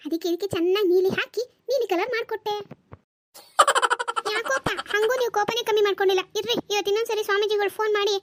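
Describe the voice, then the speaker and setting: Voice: very high voice, fairly even intonation, quick pace, very noisy. A performer voicing a scatterbrained, superstitious housewife and mother who nags and exclaims in a comedy cartoon. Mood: defensive. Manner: exclaiming, nagging.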